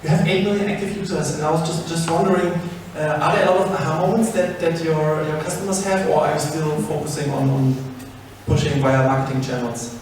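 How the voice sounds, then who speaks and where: A man with a fairly high voice moderates a panel, haltingly circling back over his own phrases. He sounds far from the microphone in a hugely echoing hall. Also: steady tone, a little mellow. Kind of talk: casual conference moderation. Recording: very echoey hall, distant mic, faint noise.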